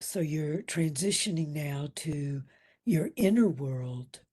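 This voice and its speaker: A woman leading a guided meditation as instructor, soft and breathy, soothing and gentle, leaving long pauses between phrases. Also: low voice, animated. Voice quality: soft, breathy, at times near whisper.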